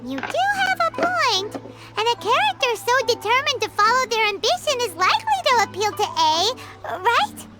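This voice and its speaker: A woman voicing a tiny, excitable female fairy companion in a video game, talking bluntly in a high, squeaky voice. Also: moderate pace. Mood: chatty. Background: game music.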